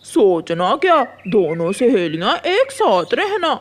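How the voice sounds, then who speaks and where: A woman plays a scheming male cartoon crow, a smooth-talking husband who likes to boss. The voice sits fairly high and swings widely, with drawn-out, wheedling emphasis. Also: big pitch swings, slight background noise. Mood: flirtatious, coaxing. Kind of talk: Hindi cartoon story acting.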